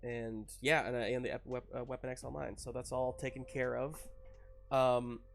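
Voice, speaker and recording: A man running a tabletop roleplaying game as game master, narrating off the cuff in a halting, informal way. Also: medium pitch, level tone, creaky, faint music behind.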